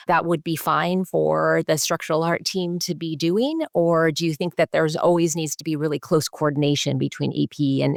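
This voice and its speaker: A woman's voice: lowish voice, vocal fry, no room sound, clean studio sound. A woman hosting a medical podcast, putting questions to guests in a conversational way. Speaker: podcast host, interviewer.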